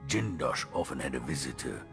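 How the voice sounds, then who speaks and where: An actor playing a weary, battered old male genius inventor in a video game, speaking gravely and haltingly. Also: rumbling low, lively intonation, in a large hall, over music, exaggerated voice. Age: older. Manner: slow, halting, grave storytelling.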